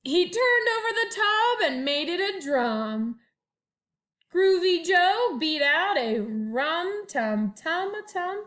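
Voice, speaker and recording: Woman, high voice, slow pace, first-grade teacher, children's read-aloud, expressive drawn-out phrasing. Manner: expressive, drawn-out storytelling with sung refrains. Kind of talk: warm-up chat and animated picture-book read-aloud for young children. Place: at home.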